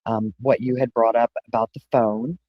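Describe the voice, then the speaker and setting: A man co-hosting a casual discussion, explaining his thinking in a measured, methodical way. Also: medium pitch, level tone, no room sound, muffled recording.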